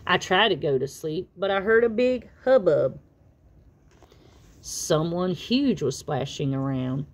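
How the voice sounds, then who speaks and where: A woman reading a children's picture book aloud as an expressive narrator. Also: fairly low pitch, slow. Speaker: storybook reader.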